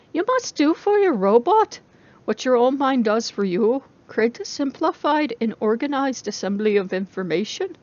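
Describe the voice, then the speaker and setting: Woman reading a novel as an intense lady professor, teachy and emphatic, highish voice, very lively, slightly muffled. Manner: didactic, emphatic lecturing. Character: female robotics professor lecturing her class, intense, brisk.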